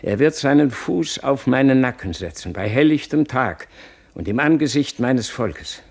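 A man's voice: medium pitch, lively intonation, moderate pace, gravelly, breathy edge. An actor playing a tormented, fearful, imperious king in a German radio play, declaiming with anguish. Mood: agitated, haunted. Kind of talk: German radio play, theatrical dramatic acting.

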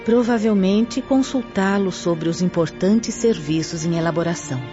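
A woman's voice: on the low side, moderate pace, close to an everyday voice. An actress playing a composed female spirit guide in a radio drama, explaining things formally. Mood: courteous. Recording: dull lo-fi audio. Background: background music.

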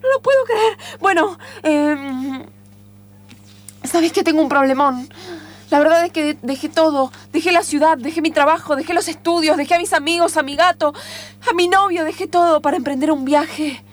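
A woman acting a naive, excitable young city traveler in improvised radio theatre, with an Argentine accent and a melodramatic, exclamatory delivery. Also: high-pitched, moderate pace, natural voice. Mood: alarmed, amazed, then confiding.

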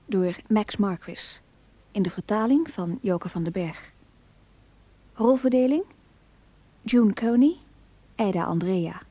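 A woman's voice: mid-range voice, animated, takes it slowly, pauses often, dark tone, no echo, dull audio. A woman reading the scripted credits of a radio play as its announcer.

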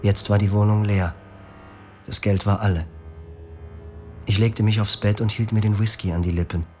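Grave, low-voiced male narrator. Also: fairly even intonation, normal speed, darkish tone, soft background music. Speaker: first-person narrator of a radio drama. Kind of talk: scripted first-person narration.

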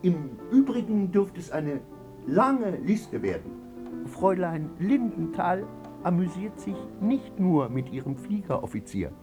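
An actor playing an arrogant, sneering, tyrannical theatre star in a radio drama, with a haughty, deliberate delivery. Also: male voice, high voice, big pitch swings, over music, full character voice. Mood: scornful. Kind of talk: German radio drama acting.

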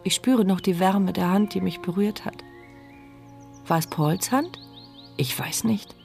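An intimate female inner voice for a radio thriller. She is anxious yet dryly wry, in a medium register, with soft music faintly behind her narration. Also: medium pitch, unhurried, gravelly. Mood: pensive. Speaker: first-person narrator. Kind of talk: German radio crime drama, interior monologue.

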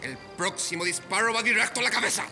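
A man's voice: high voice, fast talker, vocal fry. A man acting a threatening, commanding pirate captain in a radio play, with declamatory delivery. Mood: menacing, commanding. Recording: music under the voice.

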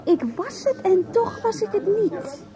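Emotional rambling woman in a radio play, really high voice, swoops around, music behind. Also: very animated, measured pace, heavily acted. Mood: giddy, dreamy. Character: emotional, rambling woman confiding her hurt and dreams. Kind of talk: radio drama dialogue.

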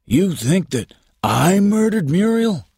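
A male narrator performs an unhappy husband in an audiobook crime story. The voice is very husky and strained, lifted well above a deep male register, with slow, distressed delivery. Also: sing-song, a little mellow, dry recording, studio quality, exaggerated voice. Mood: angry, hurt. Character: distraught husband, an unhappy working man accused of murder. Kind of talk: audiobook character voice.

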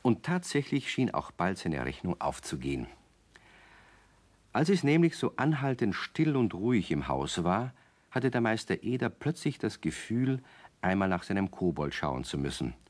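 A low-voiced male storyteller narrates a children's radio play. His delivery is very melodic and gently wry, speaking straight to young listeners in an avuncular way. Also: very animated, normal speed.

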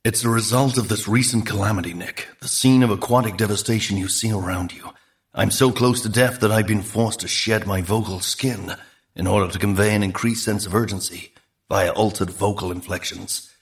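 A man's voice: lowish voice, fairly even intonation, normal speed, lightly acted. A man voicing a dying psychic dugong in an audiobook, solemn, ponderous and formally wordy. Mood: grave, urgent. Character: dying dugong, male, psychic, solemn, verbose, sounding like a grave film star.

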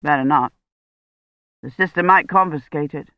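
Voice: medium pitch, moderate pace, no echo, dull audio, lightly acted. A narrator voicing a weary but firm and protective older academic man. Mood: curt.

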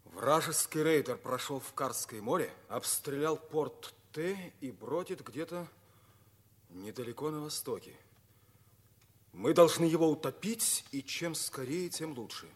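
An actor playing an ardent, commanding young pilot captain with a husky voice in a 1950s radio play. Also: male voice, fairly high pitch, expressive, a little slow. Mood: commanding, urgent.

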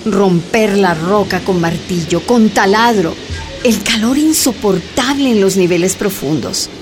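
Una mujer con acento boliviano narra con gravedad una radionovela sobre la lucha minera. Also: female voice, medium pitch, animated, unhurried, over music, lightly acted. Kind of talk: scripted narration of a radio drama.

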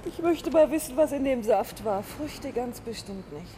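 An actress playing an elegant, playful and frank wife in a 1950s German radio play, teasing and expressive. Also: female voice, on the high side, normal speed, noisy background, close to an everyday voice. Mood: exasperated.

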